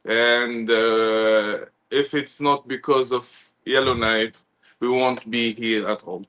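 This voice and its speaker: A chocolatier from Tunisia, a man, introducing himself in a halting way, with many fillers. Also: mid-range voice, fairly even intonation, fairly bright tone, clean tone. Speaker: chocolatier, guest. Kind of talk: casual live-stream introduction.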